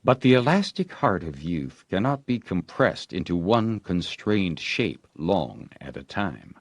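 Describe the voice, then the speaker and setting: A man narrating a classic story as an audiobook, with expressive, theatrical storytelling. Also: low-pitched, measured pace, no echo. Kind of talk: dramatic literary audiobook narration.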